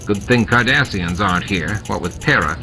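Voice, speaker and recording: A man's voice: fairly low pitch, lively intonation, moderate pace, sharp tone. A man voicing a chatty, needling gambler in an audiobook, gossipy and smug. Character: chatty, needling male gambler with a goofy grin. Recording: music and effects mixed under the voice.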